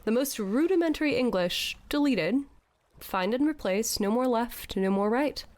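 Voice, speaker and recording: A woman's voice: a little high, lively intonation, normal speed, darkish tone, dry recording, close to an everyday voice. An actress playing a sceptical, wisecracking young woman in a scripted audio drama, with an incredulous, slangy, joking way of talking. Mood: playful.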